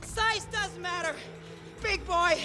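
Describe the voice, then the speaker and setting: A woman's voice: very high voice, takes it slowly, music in the background. A woman playing a brazen, lewd, sadistic policewoman villain, calling out taunts in a video game cutscene. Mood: lewd taunting.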